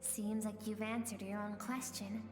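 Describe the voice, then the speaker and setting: Actress as enigmatic female game character: measured, solemn scripted lines, mid-pitched, heavy hall echo. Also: little pitch movement, lightly acted. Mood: calm, solemn. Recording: echoey hall reverb with faint music.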